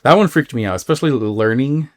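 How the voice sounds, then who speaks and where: A man who hosts a podcast, telling a story casually in an informal, halting way full of filler words. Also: mid-range voice, lively intonation, dry recording. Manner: informal, halting, filler-heavy with acted-out quotes. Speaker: podcast host.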